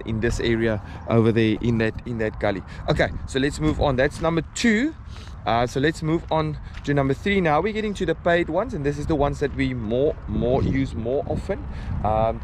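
A man in his forties with a South African accent, giving a relaxed, rambling guided tour to a handheld camera outdoors, with plenty of ums and restarts. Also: medium pitch, noisy background. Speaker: fishing vlogger. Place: outdoors by an estuary, partly in a car. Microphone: action camera held at arm's length.

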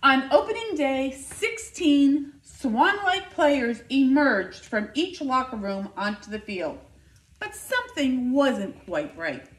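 Woman, high voice, slow pace, expressive picture-book read-aloud, emphatic, roomy echo. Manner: expressive, deliberate, emphatic storytelling for children. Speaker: school nurse reading aloud to children. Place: living room by a fireplace, indoors. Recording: roomy, echoing indoor sound.

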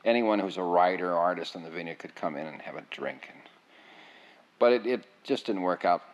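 An older man with a low voice tells his memories in a rambling way and often restarts his sentences. The recording is dull and lo-fi. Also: fairly quick. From United States. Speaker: interviewee. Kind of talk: oral history reminiscence. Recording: dull lo-fi sound.

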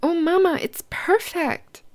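A woman's voice: very high voice, takes it slowly, darkish tone, pitched above the performer's own voice. A woman voicing an eager, caring young male raccoon child in a children's storybook, with an earnest, childlike manner. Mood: delighted. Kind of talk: children's storybook read-aloud.

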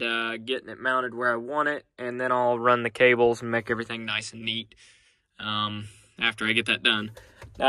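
Chatty young farmer, bright close voice. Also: male voice, mid-range voice, clear, resonant tone, dry recording. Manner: relaxed, chatty, many ums. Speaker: farmer vlogger. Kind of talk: casual vlog walkthrough. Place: farm shop and tractor cab. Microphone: handheld phone at arm's length.

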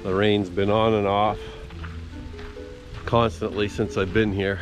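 A man's voice: lowish voice, flat delivery, slow pace, music in the background. An older man narrating a walk outdoors in a casual, understated, musing way into a handheld camera. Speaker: vlogger. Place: outdoors on a rural trail in rain. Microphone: handheld camera at arm's length.

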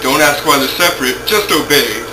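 A man's voice: medium pitch, brisk. Young man hosting a homemade cooking show. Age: young adult. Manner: jokey, offhand, talks while working. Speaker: home cooking show host. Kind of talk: casual cooking demonstration. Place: home kitchen, indoors. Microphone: camera mic, a few feet away. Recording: noisy home-video camera audio.

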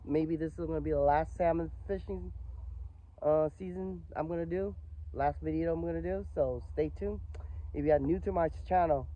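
A man hosting a fishing channel, chatting casually outdoors by a river into a handheld phone, with dropped word endings in his speech. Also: a little high. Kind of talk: casual outdoor vlog chat. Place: outdoors at a river, on and near a small boat. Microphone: handheld phone near arm's length. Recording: muffled phone-like audio, outdoor boat noise.

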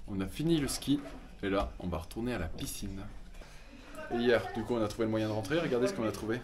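A man's voice: lowish voice, fast talker. A young man with a French accent vlogging a ski trip with friends, joking and talking straight to his viewers. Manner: joking, chatty, addresses viewers directly. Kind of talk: casual vlog narration.

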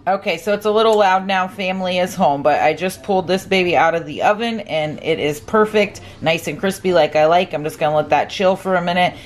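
A woman's voice: lowish voice, a little fast, hardly any pauses. A middle-aged woman narrating her home cooking in a chatty, upbeat way, explaining each step as she goes. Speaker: cooking vlogger. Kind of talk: casual voice-over cooking narration. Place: home kitchen.

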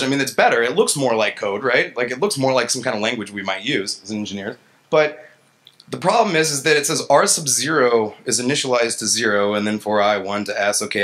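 A man with a bright, forward voice gives a casual tech talk on stage. He speaks like an engineer among peers, colloquial and self-deprecating, often acting out his own reactions. His pitch sits in an ordinary male middle range. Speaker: software engineer giving a lightning talk. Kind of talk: informal conference talk with slides. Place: stage in an indoor gallery event space. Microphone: handheld-style vocal mic on a stand.

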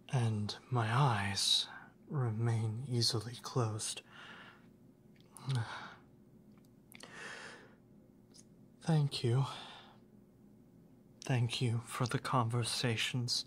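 Actor as a slow, hushed, lovesick kuudere classmate. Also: medium pitch, slight background noise. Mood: drowsy, sad. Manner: hesitant, formal wording, sighing, often near whisper. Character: reserved kuudere young classmate, lovesick, leaving a voicemail. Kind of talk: ASMR roleplay, soft intimate monologue.

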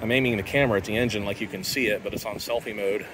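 A man's voice: fairly low pitch, steady tone, racing, a bit bright. A middle-aged American man giving casual, dryly humorous commentary while working hands-on outdoors. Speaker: host of a car repair channel. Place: outdoors beside a car. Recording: clearly noisy outdoor recording.

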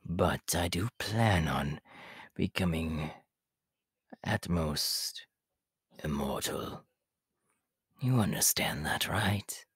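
A man voicing a sinister, power-hungry immortal body-stealer in an ASMR roleplay, sly and menacingly polite, with a raspy, half-whispered hiss. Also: low-pitched, fairly bright tone, dry recording, studio quality, heavily acted. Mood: scheming. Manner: slow, drawn-out, menacingly polite. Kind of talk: ASMR character roleplay monologue.

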